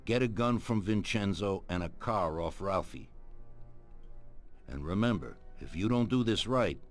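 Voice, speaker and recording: An actor playing an ageing, ruthless American mafia don in a video game, speaking with deliberate authority. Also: male voice, on the low side, lively intonation. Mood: grave, commanding. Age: older. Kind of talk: dramatic video game voice acting. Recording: music behind the voice. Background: background music.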